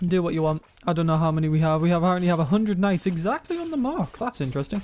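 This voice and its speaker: A young man with a self-described monotone voice, rambling through gameplay commentary and viewer comments, often correcting himself mid-sentence. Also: on the high side, racing. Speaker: gaming YouTuber.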